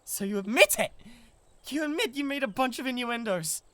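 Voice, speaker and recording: A man's voice: very high-pitched, lively intonation, normal speed, bright, forceful tone, no echo, heavily acted. A man with a British accent playing a lovesick, possessive giant who stammers and gets defensive in a comic audio roleplay. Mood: indignant. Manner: stammering, pleading, easily riled defensiveness.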